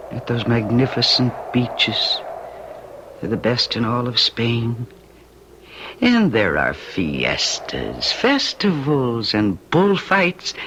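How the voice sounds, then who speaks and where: A woman playing a kind, sympathetic young lady in an old-time radio drama, speaking in an expressive, theatrical manner. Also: rumbling low, heavily acted. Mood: bright, coaxing. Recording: muffled, noisy vintage radio recording.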